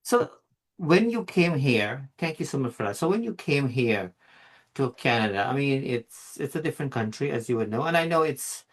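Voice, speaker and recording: A man from Guyana hosts the conversation in a relaxed, encouraging way, padding his questions with loose filler phrases. His voice sits at a middle pitch for a man. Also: normal speed. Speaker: host. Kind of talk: casual interview talk.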